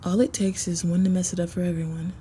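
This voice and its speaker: Over noticeable background ambience comes a woman playing a female vampire with a lowish, very mellow voice. She is a self-assured, aloof wanderer with a teasing streak, speaking in measured lines as in an intimate roleplay drama. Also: level tone, a little hiss or hum, natural voice. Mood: brooding, calm. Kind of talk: first-person dramatic roleplay narration.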